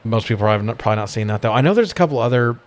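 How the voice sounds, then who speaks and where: A man's voice: mid-range voice, animated, racing. A man co-hosting a podcast, chatting casually about movies in slangy, profane language. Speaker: podcast host.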